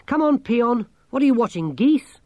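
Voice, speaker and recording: A man's voice: extremely high pitch, very animated, normal speed, no echo, lo-fi sound, voice pushed up. A man voicing a determined but exasperated male gnome with an urgent, complaining delivery in a storybook reading.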